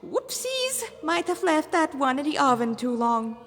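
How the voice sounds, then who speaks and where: A smug male demon warlord from a fantasy story, as a woman narrator puts him on. He is vain and mocking, drawing out his taunts at a raised pitch. Long reverb trails the voice. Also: big pitch swings, fairly bright tone, full character voice. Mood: gleeful taunting. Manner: languid, drawn-out, taunting. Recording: reverberant echoey room.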